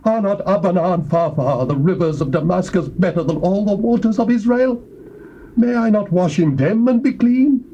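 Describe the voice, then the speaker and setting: An English actor playing a proud, grateful Syrian army captain, speaking in a declamatory, emphatic way on a lo-fi tape. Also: male voice, sits high, moderate pace, slight background noise. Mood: indignant. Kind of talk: theatrical audio Bible drama. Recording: dull lo-fi tape.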